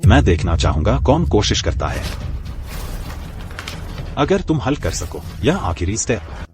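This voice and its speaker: A man dubbing a young man who calmly exposes a fraud, speaking in a cold, mocking, methodical way. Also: lowish voice, unhurried. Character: young man exposing a thief, calm and cutting. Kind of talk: Hindi dub of a drama.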